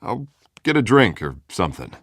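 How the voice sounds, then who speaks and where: An actor playing an earnest, ambitious politician in a video game, with a smooth, placating, polished manner. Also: male voice, fairly low pitch, very animated, moderate pace, a bit bright, no echo, studio quality, full character voice. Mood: eager, placating.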